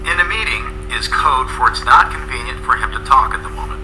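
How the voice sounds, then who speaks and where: A man narrating a scripted English lesson in a measured, explanatory way, as a presenter. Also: medium pitch, noisy background.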